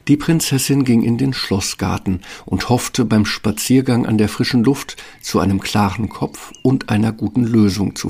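Gravelly male storyteller. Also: lowish voice, darkish tone. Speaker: narrator. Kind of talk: scripted fairy-tale narration. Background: music.

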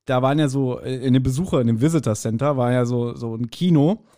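A man's voice: mid-range voice, quick pace, dark tone. A man chatting casually as a podcast co-host, recounting things in a joking way and often chuckling. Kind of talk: casual chat, recounting and discussing.

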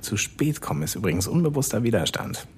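An actor playing a grumbling, change-averse office worker in an audio drama, mumbling quietly in a gravelly, husky voice. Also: male voice, on the low side, very animated, quick pace, full character voice. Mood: sly, reluctant. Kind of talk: German audio drama acting.

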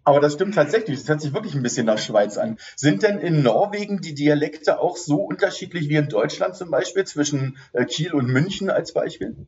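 A man's voice: on the high side, expressive, fairly quick, few pauses, darkish tone, lo-fi sound. A man hosting a casual podcast interview. He chats, teases his guest and laughs easily. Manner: chatty, teasing, laughs easily. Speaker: podcast host.

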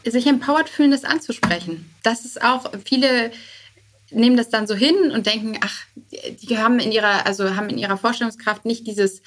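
A woman's voice: fairly high pitch, brisk, a bit bright. A woman talking casually as an interview guest, informal and sprinkled with English buzzwords. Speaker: podcast guest, start-up co-founder.

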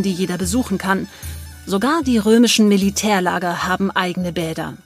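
A woman reading scripted documentary narration. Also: mid-range voice.

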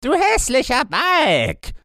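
A man's voice: very high-pitched, wildly swooping pitch, slow, bright, forceful tone, no room sound, clean studio sound, pitched above the performer's own voice, full character voice. A man voicing a cruel old robber woman with a gloating manner in a fairy tale audiobook. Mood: pained, angry. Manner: gloating, savoring. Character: gleefully cruel, bearded old robber hag.

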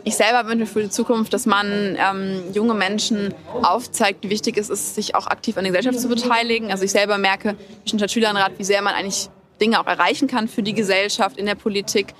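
A woman's voice: medium pitch, steady tone, a crowd in the background. An eighteen-year-old woman, a student representative, giving an articulate interview at a town hall event. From Germany. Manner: articulate, quick, speechlike. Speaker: deputy city student representative.

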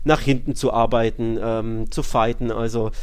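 A man talks in a relaxed, conversational podcast style at an ordinary middle pitch. He has a wry, joking manner and often feels his way toward the right word as he discusses football. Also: moderate pace, clear, resonant tone. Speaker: podcast co-host. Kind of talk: casual football podcast chat.

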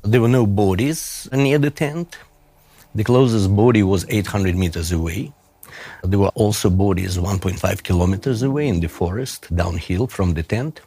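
Middle-aged Russian-accented man, low voice. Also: a little mellow, no room sound. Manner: measured, deliberate, pauses with fillers. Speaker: professor, interviewee. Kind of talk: interview answers, recounting a case.

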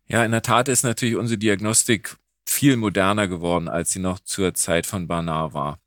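Male professor as expert guest, lower-pitched, conversational and emphatic, recorded close and dry. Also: fairly even intonation, moderate pace, a bit bright, no room sound. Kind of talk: explanatory expert talk.

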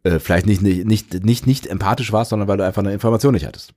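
A man's voice: fairly low pitch, quick pace, warm tone. A man co-hosting a podcast in casual chat, often losing his thread and laughing. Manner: rambling, loses track mid-sentence, laughs often. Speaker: podcast co-host.

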